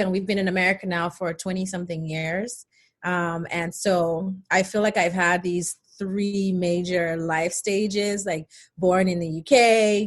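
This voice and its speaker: A middle-aged woman from Nigeria, born in England, chatting casually and telling stories as an interview guest. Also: on the low side. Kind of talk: casual conversational storytelling.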